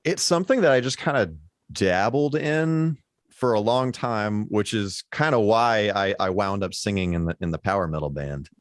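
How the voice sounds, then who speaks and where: A man with a baritone voice, chatting as a guest musician on a podcast, rambling with lots of fillers and restarts. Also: normal speed, no room sound, clean studio sound. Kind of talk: casual podcast conversation.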